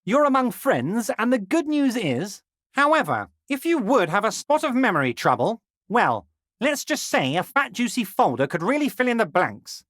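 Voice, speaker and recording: An actor playing a scheming middle-aged union boss who flatters in a smug, drawn-out way, in a video game. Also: male voice, high-pitched, no room sound, clean studio sound, heavily acted. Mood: oily flattering. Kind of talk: video game character dialogue.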